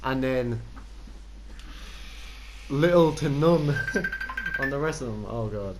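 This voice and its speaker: A man's voice: mid-range voice, lively intonation, slow pace, over music, poor audio. Giggly young man co-hosting a podcast. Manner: giggly, reads aloud mockingly. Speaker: podcast co-host. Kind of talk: casual crude banter.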